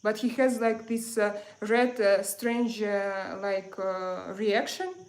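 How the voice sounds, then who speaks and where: A woman from Russia with a Russian accent, chatting casually as a livestream host and answering viewers in halting English with repeated phrases. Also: mid-range voice, little pitch movement.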